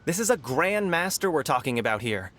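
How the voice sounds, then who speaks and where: An actor playing an eager, frank young martial arts student in a video game, speaking in an earnest, emphatic way. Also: male voice, a little high, big pitch swings, fairly quick, heavy background noise. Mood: frank, insistent.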